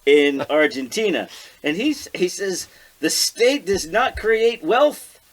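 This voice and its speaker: American guy on a casual politics podcast, highish voice, super animated, sardonic, asks rhetorical questions. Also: slow pace. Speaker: podcast co-host.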